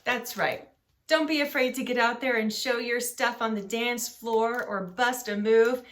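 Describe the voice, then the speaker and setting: A woman hosting a children's story channel, reading aloud in an expressive, deliberate, sing-song way. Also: a little high.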